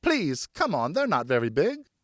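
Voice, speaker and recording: A man voicing an eager, adventurous boy in a storybook, excited and cajoling. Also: fairly high pitch, normal speed, no room sound, clean studio sound, voice pushed up. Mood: pleading.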